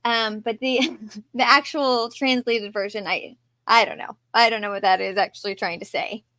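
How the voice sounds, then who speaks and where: A woman's voice: a little high, steady tone, moderate pace, sharp tone, no echo. A woman co-hosting a podcast in casual discussion, with a giggly, somewhat hesitant way of talking. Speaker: podcast co-host.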